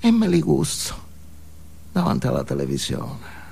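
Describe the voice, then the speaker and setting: A man's voice: a little high, huge pitch swings, a little slow, vocal fry, a little hiss or hum, full character voice. An actor playing an unhinged, foul-mouthed, alienated man in an intense, volatile comic stage monologue. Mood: deranged. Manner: intense, volatile, emphatic, swinging from muttering to yelling.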